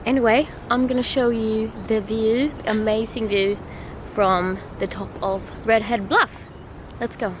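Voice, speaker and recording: A young woman from Sweden with a Swedish accent, chatting excitedly in a casual travel vlog and laughing often. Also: fairly high pitch, measured pace, background noise. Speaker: vlogger.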